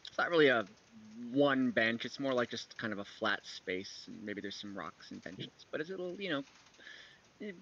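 Bright-voiced male game master, animated pitch. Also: moderate pace, a little hiss or hum. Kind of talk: casual improvised narration of an online tabletop game. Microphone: video call. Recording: dull, lo-fi online call audio.

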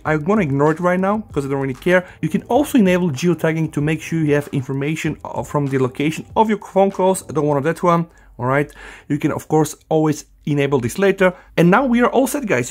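A man hosting a casual hands-on phone tutorial, talking directly and informally to his viewers. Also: a little high. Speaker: tech tutorial host.

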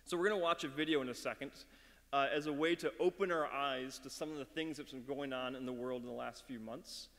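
A middle-aged man leading a school chapel talk and prayer from a lectern, speaking in a measured, earnest way with some halting fillers. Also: medium pitch, fairly even intonation, a bit bright. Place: dark auditorium stage at a lectern. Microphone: mic on the lectern. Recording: long hall reverb.

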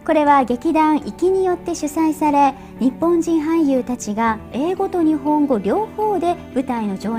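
High-pitched woman presenting the news. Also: darkish tone. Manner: polite, clearly articulated. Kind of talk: scripted news presentation and narration. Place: TV studio.

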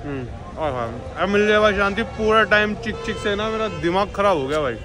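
A man's voice: high-pitched, lively intonation, a little fast. A young man vlogging casually, chatting and haggling to camera as he shops a busy night market. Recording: crowd noise of a street market.

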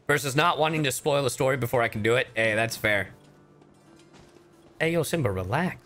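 A man's voice: medium pitch, a little fast, fairly bright tone, soft background music. A young man livestreaming with casual game commentary, chatty, joking and profane.

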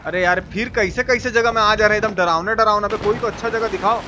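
A man's voice: high voice, steady tone, fast talker, background noise. A man with a Bihari accent giving colloquial, slangy live gameplay commentary, playfully repeating his phrases. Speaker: game streamer.

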